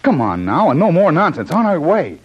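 A male actor playing a bold, insistent adventurer hero in an old radio serial, brisk and commanding. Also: fairly high pitch, huge pitch swings, a little hiss or hum. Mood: urgent, insistent. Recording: muffled, husky old radio recording.

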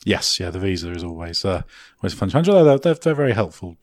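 A man's voice: deep voice, very animated, fast, no room sound. A man hosting a motorsport podcast, joking dryly and leaning on "uh" fillers as he wraps up. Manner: dry, joking, many "uh" fillers. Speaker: podcast host. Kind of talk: casual podcast chat and sign-off.

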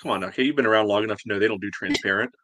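A man's voice: on the low side, racing, sharp tone. A man chatting casually on a sports fan podcast, with a dry, sardonic, dismissive way of talking. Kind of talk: casual soccer fan discussion.

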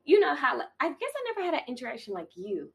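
A woman's voice: high-pitched, big pitch swings, racing, creaky. Gushing young woman podcast host. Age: 20s. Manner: chatty, gushing, lots of "like" and "oh my God". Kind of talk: casual podcast conversation.

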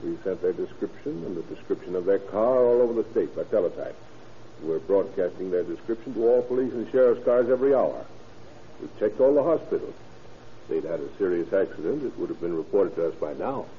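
An actor playing a firm, reasoning police detective in an old-time radio drama, on a muffled, hissy recording. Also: male voice, low-pitched, expressive, fairly quick. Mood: firm, insistent.

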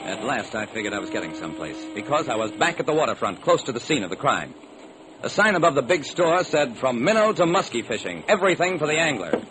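Casting: male actor as hardboiled American gumshoe narrator, wry, animated pitch swings, vintage radio sound with steady noise. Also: quick pace, natural voice. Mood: wry, intent. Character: male private detective narrating his own case, wry and hardboiled. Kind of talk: old-time radio detective drama narration. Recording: noisy old radio recording.

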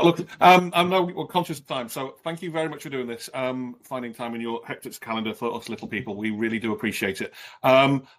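A man's voice: mid-range voice, a bit bright. A man hosting a podcast, wrapping up the interview in a joking, informal way. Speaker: podcast host. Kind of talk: casual interview wrap-up.

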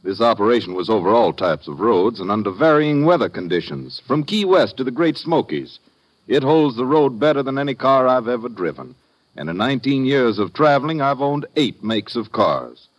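A man's voice: medium pitch, big pitch swings, moderate pace, highs cut off. An American man voicing a satisfied car owner's testimonial in a 1950s radio commercial. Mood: satisfied, earnest.

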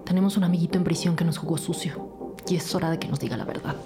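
A woman's voice: lowish voice, fairly even intonation, quick pace, music in the background, close to an everyday voice. An actress playing a stubborn, wounded female police officer in an audio drama podcast, urgent and determined. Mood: determined, weary. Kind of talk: audio fiction podcast acting.

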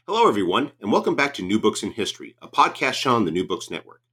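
Low-voiced male podcast interviewer. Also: sing-song, fast, a bit bright. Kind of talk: book interview, conversational questions.

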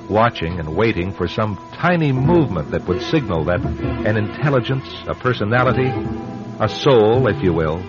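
Solemn low-voiced radio drama narrator. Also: male voice. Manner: grave, deliberate. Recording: muffled radio broadcast recording.

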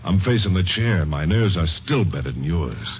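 An actor playing a menacing, sarcastic convict killer with tough gangster slang in a 1950s radio crime drama. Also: male voice, deep voice, expressive, normal speed. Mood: threatening, scornful. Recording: muffled, noisy vintage radio recording.

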